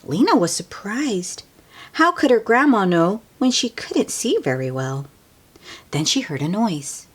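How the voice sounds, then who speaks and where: A woman reading a picture book aloud to children as host, expressive and often hushed, with friendly asides to young listeners. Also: medium pitch, a little slow, slightly rough.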